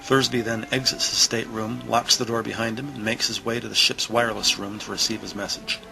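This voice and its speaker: A man narrating an audio drama in a deliberate, suspenseful scripted style with emphatic pauses. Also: on the low side, little pitch movement, gravelly, over music, rough recording.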